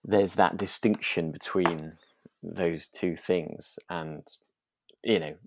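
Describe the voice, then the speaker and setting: A man co-hosting a podcast in casual chat, agreeable and a little halting as he works out his thoughts. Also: sits low, steady tone, gravelly, background noise. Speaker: podcast co-host.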